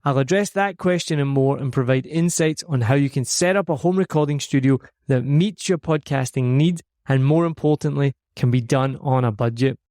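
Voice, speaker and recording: A man's voice: medium pitch, normal speed, hardly any pauses, darkish tone, no room sound. A Scottish man hosting his podcast from a home studio, delivering an upbeat, earnest scripted monologue. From Scotland. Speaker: podcast host.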